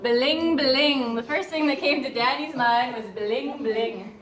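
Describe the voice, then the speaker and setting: Lady teacher talking to her class, quite high voice, roomy echo. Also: measured pace, fairly bright tone. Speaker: primary school teacher. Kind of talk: addressing a class of children. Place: school classroom. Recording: echoey classroom with faint background noise.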